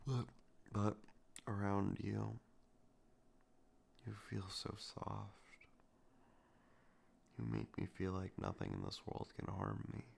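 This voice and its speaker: A man performing a romantic ASMR roleplay to the listener, soft, intimate and teasing with a possessive edge. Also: sing-song, slow, pauses often. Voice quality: low gravelly voice with heavy vocal fry.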